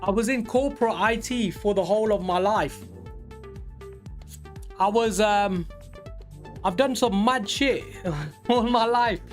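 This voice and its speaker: A man of forty talking casually and candidly on a live trading stream, rambling with many pauses. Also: sits high, soft background music. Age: 40s. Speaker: livestream day trader.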